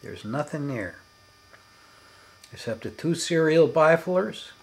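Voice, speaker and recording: A man giving an unscripted demonstration as a hobbyist, in halting, broken phrases with emphatic stresses. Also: fairly high pitch, lively intonation, gravelly, slightly rough, low-quality recording. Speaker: hobbyist demonstrating his electronics experiments.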